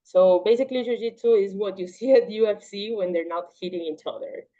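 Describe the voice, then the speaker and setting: A young woman from Paraguay whose first language is Portuguese, chatting casually as a podcast guest with a relaxed, talkative manner. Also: mid-range voice, fairly quick. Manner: relaxed, chatty, with frequent "like" and laughs. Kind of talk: casual interview chat. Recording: slightly dull, lo-fi audio.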